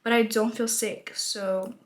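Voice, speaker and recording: A woman in her twenties, her voice raspy from a lost voice, chatting casually while doing her makeup, laughing often. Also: fairly high pitch, fairly even intonation, measured pace. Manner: chatty, rambling, laughs often, says y'all. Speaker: beauty vlogger. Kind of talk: casual get-ready-with-me chat.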